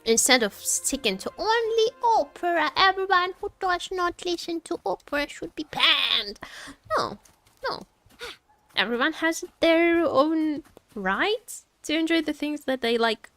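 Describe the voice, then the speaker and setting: A woman's voice: very high-pitched, animated, a little slow, pushed and bright. A woman livestream host chatting casually, rambling and searching for her words as she goes. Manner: rambling, hesitant word searching.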